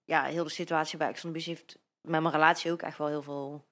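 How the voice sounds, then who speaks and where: Young woman, 22, Dutch accent, lowish voice, hesitant with fillers, handheld mic. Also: level tone, fast, fairly bright tone. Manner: hesitant, many fillers and restarts. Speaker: interview guest. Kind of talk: casual interview. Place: bright living-room studio with sofa. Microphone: handheld microphone.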